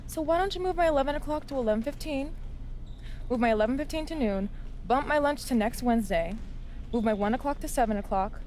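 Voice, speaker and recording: A woman playing a brisk, bossy but friendly executive in a video game, with a businesslike manner. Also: high-pitched, darkish tone, a little hiss or hum, natural voice. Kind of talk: scripted video game dialogue.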